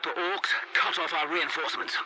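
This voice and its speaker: A man's voice: a little high, fairly even intonation, moderate pace, pushed and bright, rough recording. A man playing a tense military commander barking orders in a video game radio log. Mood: urgent.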